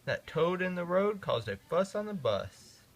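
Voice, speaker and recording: A man doing a loud, alarmed bus driver. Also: sits high, very animated, unhurried, pitched above the performer's own voice, heavily acted. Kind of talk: storybook reading aloud.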